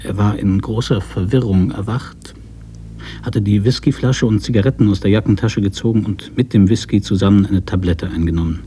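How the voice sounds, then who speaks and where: A man narrating a literary radio drama from a script in a measured, sober manner. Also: fairly low pitch, fairly even intonation, warm tone, slight background noise.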